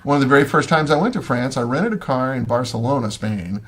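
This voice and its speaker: Livestream host: a middle-aged Midwestern man with a gravelly voice, loose and rambling, lots of ums. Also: mid-range voice, animated, fast talker. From Missouri, USA. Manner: rambling, many ums and restarts. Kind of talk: casual chat, answering viewer comments. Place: home.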